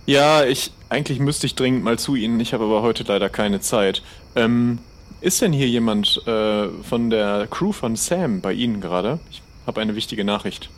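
A man's voice: mid-range voice, moderate pace, a little hiss or hum. A man playing along in an improvised audio adventure, speaking casually in character and describing his own moves. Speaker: player in an adventure game.

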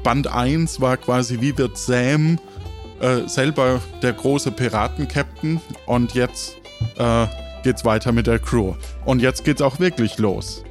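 A man's voice: medium pitch, measured pace, soft background music. A man hosting and narrating an improvised audio adventure game as its game master.

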